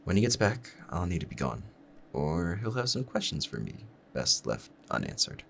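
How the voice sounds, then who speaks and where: A man playing a lovestruck assassin in a roleplay audio drama, speaking to the listener in formal, measured, courteous phrasing with quiet menace. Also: low voice, sing-song, vocal fry, slight background noise, heavily acted. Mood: grateful, candid.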